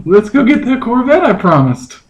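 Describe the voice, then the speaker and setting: Man doing a high-pitched nosy woman. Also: very animated, moderate pace. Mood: inquisitive. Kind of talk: mock dramatic reading.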